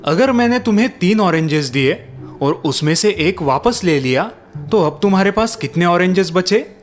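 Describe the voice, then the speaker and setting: A man's voice: high voice, animated, normal speed, faint music behind. A man voicing an earnest young tutor in a cartoon, who explains step by step and is easily exasperated. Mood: earnest, patient. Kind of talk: cartoon voice acting.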